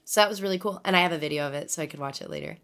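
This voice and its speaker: A woman with a hoarse, strained voice sitting a little low in pitch. She talks casually to her stream viewers and rambles on while she replies to their comments. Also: very fast, fairly bright tone. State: hoarse from laryngitis. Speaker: musician livestreamer.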